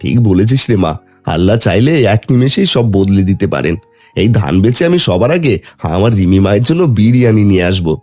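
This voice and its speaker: Animated village father, acted by a man. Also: on the low side, a little fast, faint music behind, lightly acted. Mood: affectionate, pleased. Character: gentle, devout poor farmer father. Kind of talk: Bengali fairy-tale cartoon acting.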